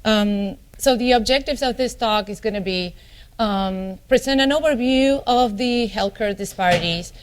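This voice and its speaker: Argentine woman giving a keynote lecture. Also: mid-range voice. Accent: Argentine Spanish. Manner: frequent ums and you knows, restarts phrases. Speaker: family physician giving a keynote. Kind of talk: conference lecture.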